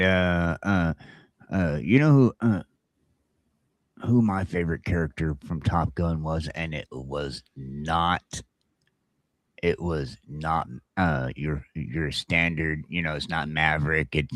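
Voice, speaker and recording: Male morning-show host: low voice, groggy and rambling, casual banter, close dry sound. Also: fairly even intonation, slow, fairly bright tone, no echo.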